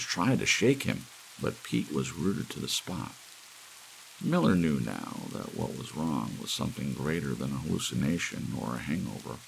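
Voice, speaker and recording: A man reading an audiobook story in a dramatic, hushed storytelling style, as a narrator. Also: extremely low pitch, creaky, poor audio. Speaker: audiobook reader. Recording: steady hiss of soft rain. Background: soft rain.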